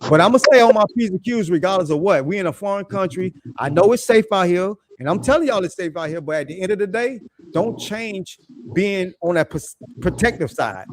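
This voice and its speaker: A middle-aged man talks in a loose, laid-back way, full of slang and repeated phrases. His voice is raspy, and he pitches it somewhat high. It sounds like an easy, unhurried conversation. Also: lively intonation, slight background noise. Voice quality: deep raspy voice. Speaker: guest living abroad. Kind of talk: casual chat.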